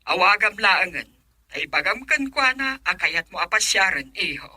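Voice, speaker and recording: A woman's voice: mid-range voice, expressive, moderate pace, bright, forceful tone, slightly rough, no echo, exaggerated voice. A woman acting a chatty middle-aged auntie in an Ilocano radio drama. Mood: encouraging.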